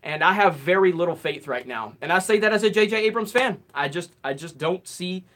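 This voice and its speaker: A man in his late thirties hosting a live Q&A stream, reading out viewer comments and answering in a relaxed, rambling way. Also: sits high, fairly quick. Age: 30s. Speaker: host.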